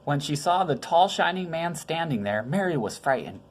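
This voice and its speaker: A woman's voice: deep voice, expressive, normal speed. A woman reading a children's Bible story aloud, with informal, conversational asides in simple words. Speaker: storybook reader.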